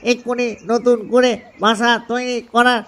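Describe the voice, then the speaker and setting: A man's voice: very high-pitched, monotone, moderate pace, fairly bright tone, smooth. A man voicing an easygoing, doting father duck husband in a cartoon. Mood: eager. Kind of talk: cartoon dialogue.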